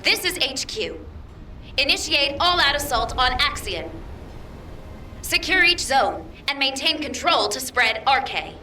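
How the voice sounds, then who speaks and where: An actress voicing a crisp, commanding female officer giving orders in a game cutscene. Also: sits high, sing-song, a little slow, sharp tone, echoey room, far from the mic, slight background noise, heavily acted.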